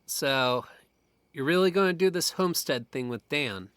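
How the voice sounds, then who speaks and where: A man's voice: on the high side, lively intonation, normal speed, a bit bright, clean tone, no echo. A young man acting as a casual, profane, protective friend in a horror audio drama. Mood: wistful, quiet. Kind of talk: naturalistic audio drama dialogue.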